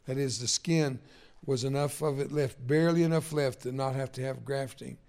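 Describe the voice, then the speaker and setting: An older man whose first language was Spanish, preaching a sermon in a folksy, self-deprecating way and swinging from jokes to quiet, personal moments. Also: mid-range voice, steady tone, moderate pace, gravelly.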